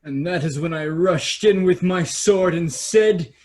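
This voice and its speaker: A man's voice: high-pitched, unhurried, darkish tone. Vain young prince played by a man, pompous, self-admiring, leisurely delivery, children's stage play. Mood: boastful. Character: vain, boastful young fairy-tale prince, adoring himself. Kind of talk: staged reading of children's theater.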